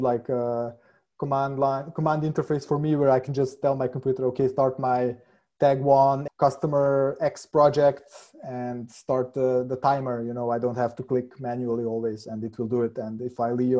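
A man whose first language is Hungarian, a software developer guest answering eagerly, laughing often and repeating words as he thinks. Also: mid-range voice. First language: Hungarian and Serbian. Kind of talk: casual interview answers.

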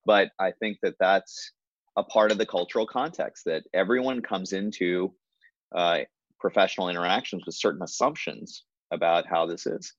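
Man, very low voice, dry dull lo-fi sound, thoughtful pauses, measured delivery. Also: no room sound. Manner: measured, many fillers and restarts. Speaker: hospital leader, guest. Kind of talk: reflective conversational interview answer.

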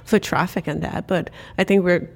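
A woman's voice: fairly low pitch, moderate pace, vocal fry, slightly rough. Woman telling her story. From Cape Verde. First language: Cape Verdean Creole. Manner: animated storytelling, frequent "like" fillers. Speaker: guest. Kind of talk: casual interview chat.

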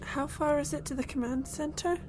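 An actress playing Claire, a curious young woman abducted onto an alien ship, calm and reassuring to others. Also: sits high, normal speed, lightly acted. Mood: calm, steadying. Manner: reassuring, then eagerly curious. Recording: faint music score underneath.

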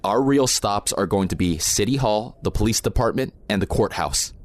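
A man's voice: on the low side, animated, moderate pace, lightly acted. A man playing an experienced, protective protest organizer in an audio drama, plain-spoken, informal and matter-of-fact. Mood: firm briefing. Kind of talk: naturalistic audio drama acting.

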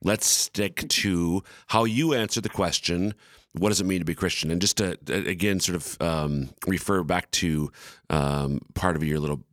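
Deep-voiced man hosting an interview. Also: fairly bright tone, no echo, studio quality. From Minnesota, USA. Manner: friendly, halting, many restarts. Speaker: podcast host.

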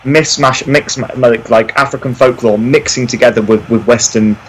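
A man speaking as an interview guest, explaining things in an animated, emphatic, conversational way. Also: medium pitch, moderate pace, a little hiss or hum, low-quality recording. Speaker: podcast guest, folklorist.

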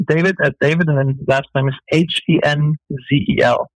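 A man's voice: on the high side, fairly even intonation, no room sound, poor audio. A man with a German accent, a business guest on a radio talk show, answering in an earnest, filler-filled way. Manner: earnest, fast, full of fillers. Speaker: entrepreneur guest.

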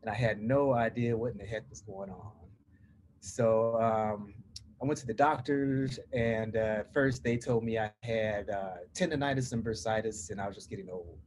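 A man's voice: on the low side, moderate pace, darkish tone. Southern-drawling American man, slightly noisy recording. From Portland, Oregon, United States. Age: middle-aged. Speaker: podcast guest. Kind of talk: personal storytelling in an interview.

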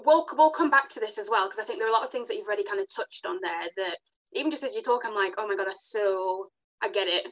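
A woman hosting a podcast, chatting casually and warmly affirming her guest with enthusiastic interjections. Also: mid-range voice, quick pace, rough recording. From Africa. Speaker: podcast host.

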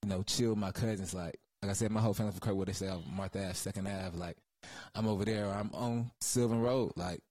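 A man from the Atlanta area speaks as a guest in a casual interview. His voice is fairly low, with a Southern accent and a close, dry sound. He rambles through stories with plenty of slang and swearing. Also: fairly even intonation, fast talker, darkish tone, no room sound. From Georgia, USA, Atlanta area. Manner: slangy, profane, rambling storytelling. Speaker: guest, music creative.

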